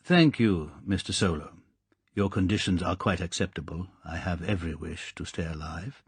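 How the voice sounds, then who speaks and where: A man's voice: low voice, normal speed, slightly rough, no echo, exaggerated voice. A man voicing a polished, cordially formal male mastermind.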